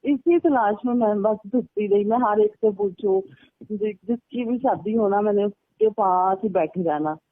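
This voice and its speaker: A woman's voice: medium pitch, expressive, a little slow. Woman, casual storyteller on a recorded phone call; tinny, narrow sound, dry with no room echo. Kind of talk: casual phone chat, recounting personal stories. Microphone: telephone line.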